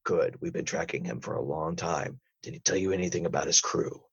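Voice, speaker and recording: Gruff whale interrogator male actor, husky and deliberate, stern, improvised audio drama, dull close sound. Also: exaggerated voice. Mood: stern, matter-of-fact. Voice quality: husky, low. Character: gruff whale interrogator hunting crab drug smugglers.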